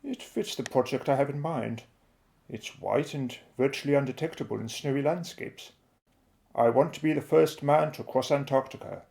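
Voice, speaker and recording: A man acts out a middle-aged British inventor in an audio drama. His voice is gravelly with fry, and he explains his daring schemes in a clipped, declamatory way, self-assured and a little secretive. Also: mid-range voice, normal speed, darkish tone, close to an everyday voice. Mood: proud, storytelling.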